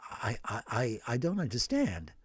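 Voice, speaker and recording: A man's voice: medium pitch, huge pitch swings, husky, no echo, clean studio sound, voice pushed down, exaggerated voice. A man doing a timid, stammering priest. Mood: flustered. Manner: stammering, soft and halting. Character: timid, hesitant middle-aged male priest.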